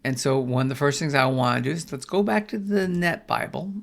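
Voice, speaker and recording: An older man with a gravelly, creaky voice walks through a Bible study lesson in a relaxed, folksy way. He often restarts his phrases and tosses in "right?" as he goes. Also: on the high side, fairly quick. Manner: folksy, rambling, with many restarts and "right?" checks. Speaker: Bible teacher.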